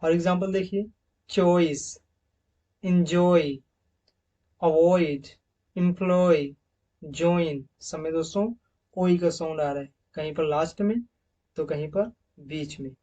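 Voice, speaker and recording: A man walks through phonetics slides in a classroom-lecture manner. He stretches out and repeats each example word at a louder, emphatic level, then drops back to quick explanations. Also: on the high side, steady tone, lo-fi sound. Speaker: English teacher. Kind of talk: slide-based phonetics lesson.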